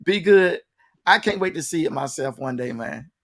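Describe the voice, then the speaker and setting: A man's voice: fairly high pitch, animated, moderate pace, gravelly, a bit bright. A man hosting a casual conversational interview, often repeating himself and speaking straight to the audience. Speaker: interview show host.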